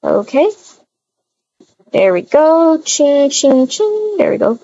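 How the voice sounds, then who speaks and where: A woman's voice: sits high, very animated, slow pace, warm tone, lo-fi sound. A woman improvising a voiced video-game roleplay, chatting and narrating her own actions aloud.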